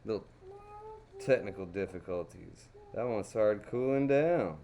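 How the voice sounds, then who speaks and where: A man's voice: on the low side, lively intonation, unhurried, dark tone, slight background noise. A man from Dallas with a Texas accent, chatting casually and folksily to himself as he paints. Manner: folksy, chatty, talks to himself while working. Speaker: crayon painting streamer.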